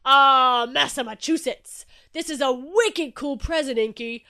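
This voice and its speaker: A woman voicing a loud, hearty male shark in a children's story, roaring with exuberant, big-hearted friendliness. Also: sits high, takes it slowly, voice pushed up, exaggerated voice. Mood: thrilled.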